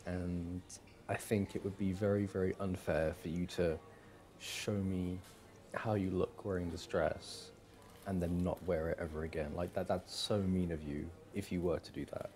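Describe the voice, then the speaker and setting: Man playing a low, mellow, doting boyfriend. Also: fairly even intonation, natural voice. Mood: fond, playful. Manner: hesitant, halting, affectionate. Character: doting, smitten young boyfriend on a shopping date. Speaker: audio roleplay performer. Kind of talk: boyfriend ASMR roleplay, acted one-sided conversation.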